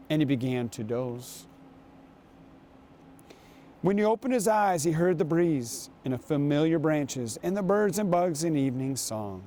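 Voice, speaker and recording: A man reading aloud and talking to children as a guest reader, with an unhurried, storytelling manner. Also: fairly high pitch, mellow, a little hiss or hum. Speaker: guest reader, basketball coach.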